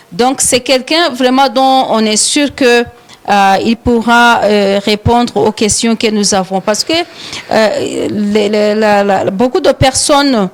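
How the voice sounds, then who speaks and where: Rwandan woman, radio host, slightly high voice, halting with lots of fillers. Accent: Rwandan French. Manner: hesitant, many fillers and repeated words. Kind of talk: live studio talk show.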